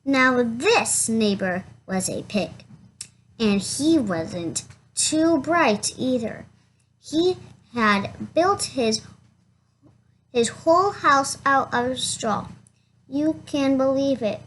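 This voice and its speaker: A woman voicing a chatty, defensive male wolf from a children's storybook, with halting, protesting delivery. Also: sits high, animated, fairly bright tone, a little hiss or hum, exaggerated voice, rough recording. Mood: chatty, incredulous. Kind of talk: children's storybook read-aloud in first person.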